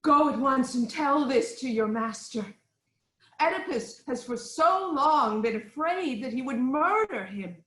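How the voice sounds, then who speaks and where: An actress playing a regal queen, an anxious but firmly reassuring wife, in a formal, deliberate reading of Greek tragedy. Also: high voice, expressive, fairly bright tone, reverberant, rough recording. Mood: startled, urgent.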